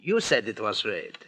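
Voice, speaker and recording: Sharp, tense murderous doctor. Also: male voice, fairly low pitch, heavily acted. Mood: nervously insistent. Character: male doctor, insinuating murderer hiding behind politeness. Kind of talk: 1940s radio drama villain acting. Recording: vintage 1940s radio recording.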